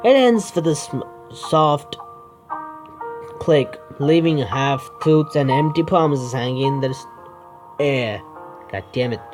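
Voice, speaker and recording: Halting man reading a game aloud. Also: medium pitch, animated. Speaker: gaming video creator. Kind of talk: game playthrough, reading narration aloud with asides. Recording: music behind the voice.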